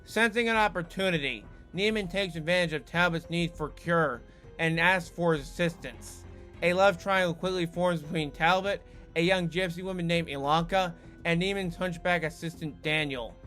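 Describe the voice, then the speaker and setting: Man, host, scripted narration, somewhat high voice, wry asides, soft music underneath. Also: moderate pace. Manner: wry, with joking asides to the viewer. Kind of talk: scripted video essay narration.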